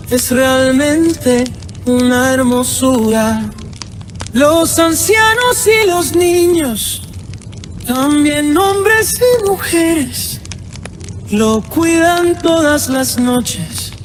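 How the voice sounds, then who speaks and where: A Uruguayan woman slowly reciting a solemn poem. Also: high voice. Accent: Uruguayan Spanish. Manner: slow, solemn poetic recitation.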